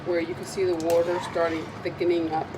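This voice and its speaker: A woman with a raspy voice from a lingering cold, chatting casually as she demonstrates a recipe in her home kitchen. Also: fairly low pitch, little pitch movement, fast, very noisy. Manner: chatty, meandering, with frequent ums. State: recovering from a cold. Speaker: cooking video host.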